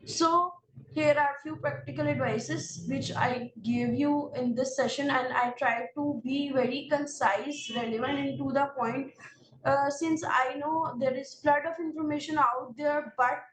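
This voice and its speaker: A high-pitched woman with a Pakistani English accent gives earnest, direct coaching in the second person, often pausing on fillers. She sits back from the mic in a reverberant room with faint background ambience. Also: steady tone, recorded from a distance, noisy background. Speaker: exam prep instructor. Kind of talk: spoken advice to exam candidates.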